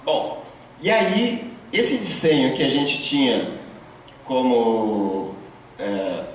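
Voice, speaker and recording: A man's voice: medium pitch, drawn-out words. A man lectures to a class, working through concepts patiently and tagging his points with little checks for agreement. He sits far from the microphone in a very reverberant room. A faint room hum runs underneath. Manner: many fillers and tag questions, explanatory. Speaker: course instructor. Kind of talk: university lecture. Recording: very echoey, distant, faint room noise.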